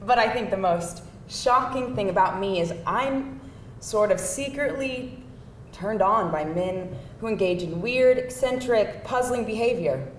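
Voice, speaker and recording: A woman's voice: mid-range voice, lively intonation, unhurried, far from the mic, lightly acted. A woman playing a wry, candid, incredulous date in a live screenplay table read. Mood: candid, playful. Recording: echoey live room, faint noise.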